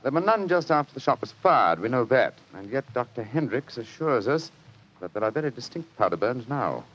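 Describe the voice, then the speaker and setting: An actor playing a confident, analytical English gentleman detective, precise and assured, in 1940s radio mystery style. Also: mid-range voice, sing-song, a little fast, slight background noise. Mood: reassuring. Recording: muffled old 1940s radio broadcast.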